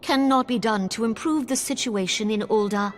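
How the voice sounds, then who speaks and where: Stately wise lady leader woman voice acting, fairly high voice, solemn fantasy game, soft music behind. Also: normal speed, lightly acted. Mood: concerned, grave.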